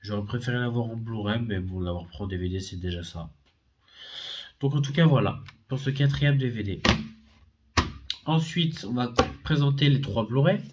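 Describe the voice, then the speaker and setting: A man's voice: medium pitch, moderate pace, darkish tone, lo-fi sound, a little hiss or hum. Rambling man with a head cold. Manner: rambling, hesitant with many fillers and self-corrections. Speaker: DVD and Blu-ray collector. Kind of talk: casual unboxing video.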